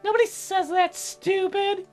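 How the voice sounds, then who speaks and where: A very high, thin young girl's voice put on by a man for a visual novel. She is a sick patient, curt and pouty, covering bashfulness with a sharp tongue. Soft music plays behind her. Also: flat delivery. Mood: scornful. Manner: curt, pouty, haltingly flustered. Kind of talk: visual novel character read aloud.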